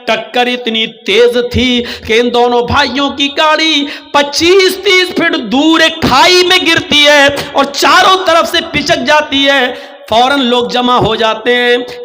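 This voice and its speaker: Very high-pitched, piercing male preacher. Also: bright, forceful tone. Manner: declamatory, dramatic storytelling, acting out dialogue. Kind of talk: impassioned religious sermon told as a cautionary story.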